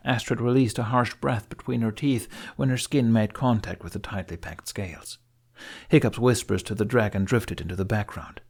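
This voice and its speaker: A man's voice: on the low side, vocal fry, a little mellow. A man reading scripted fiction as an audiobook narrator.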